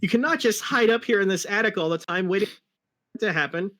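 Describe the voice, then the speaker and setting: A man's voice: high voice, fairly quick, pushed and bright, voice pushed up. A man voicing a cocky, brash young cartoon hedgehog hero in a boastful, blunt way. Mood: preachy.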